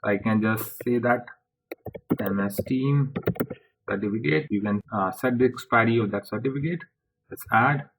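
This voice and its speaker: A man presenting a software tutorial step by step in an informal way, speaking haltingly in short phrases. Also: mid-range voice, vocal fry, a little hiss or hum, poor audio.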